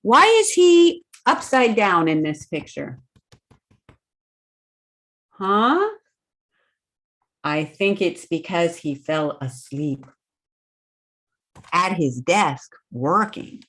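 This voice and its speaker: A woman hosting a children's storytime, reading a picture book aloud expressively and pausing to put questions to young listeners. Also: lowish voice. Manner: expressive, deliberate, simple phrasing with questions to children.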